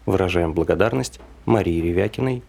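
A man with a gravelly, husky voice reading scripted credits as an announcer. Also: deep voice, fairly quick, a little mellow.

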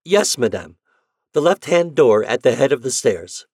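A man's voice: medium pitch, very animated, normal speed, darkish tone, breathy edge, no echo, studio quality, voice pushed up. A man doing an eager young errand boy. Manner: eager, earnest reporting.

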